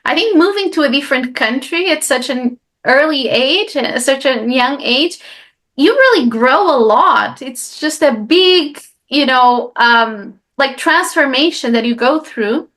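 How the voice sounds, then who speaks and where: A woman's voice: high-pitched, expressive, measured pace, fairly bright tone. A woman from Portugal, a native Portuguese speaker, talking as a guest in a casual interview. She leans on fillers and often tags her sentences with "right?". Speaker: guest, life coach.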